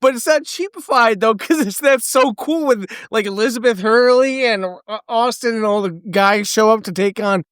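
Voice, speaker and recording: A man's voice: very high-pitched, a bit bright, smooth, no room sound, studio quality. A man co-hosting a film podcast in casual chat, rambling with many fillers and slipping into character voices. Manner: rambling, lots of fillers, quick impressions of characters. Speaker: podcast co-host. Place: podcast studio.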